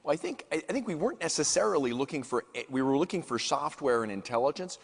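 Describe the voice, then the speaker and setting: A man's voice: fairly high pitch, very animated, fast talker, gravelly, breathy edge. A male chief executive answering questions on a conference panel, earnest, often restarting his phrases. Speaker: CEO guest speaker. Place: conference stage in a large hall. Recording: long hall reverb.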